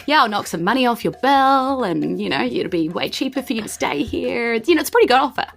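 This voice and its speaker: A woman's voice: a little high, expressive, quick pace, creaky, a bit bright. A woman with a New Zealand accent running a tabletop game, narrating informally and putting questions to the players. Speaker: dungeon master of a tabletop game podcast. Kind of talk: improvised game narration, casual chat.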